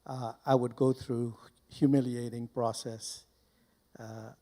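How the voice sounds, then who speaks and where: An older man from Burma giving informal personal testimony to a live audience as a guest speaker, deliberately and in short, broken phrases. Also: medium pitch, little pitch movement, warm tone. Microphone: handheld microphone held close.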